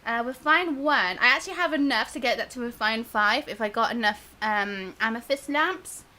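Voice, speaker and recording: A woman chatting casually and bubbly as she hosts a live game stream, quick to giggle. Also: fairly high pitch, pushed and bright. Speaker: live stream gamer and host.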